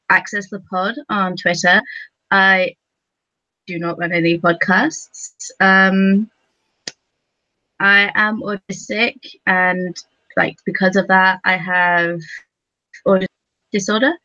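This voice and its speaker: A woman giving a casual, halting introduction as a panelist, heard through dull lo-fi call audio. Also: medium pitch, fairly even intonation, sharp tone, no room sound. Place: remote video call.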